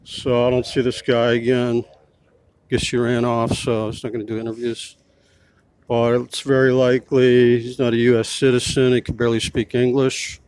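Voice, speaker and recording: A man's voice: medium pitch, monotone, moderate pace, a little mellow, clean tone. A man filming himself as a street reporter outdoors, talking in a pushy way and repeating his questions. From United States. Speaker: self-styled street reporter. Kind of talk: confrontational vlog narration.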